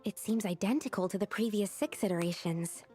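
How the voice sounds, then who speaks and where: A woman's voice: medium pitch, a little fast, a little mellow, natural voice. A woman voicing a studious, earnest young female alchemist in a video game, explaining things precisely and methodically. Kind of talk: scripted video game voice acting. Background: game music.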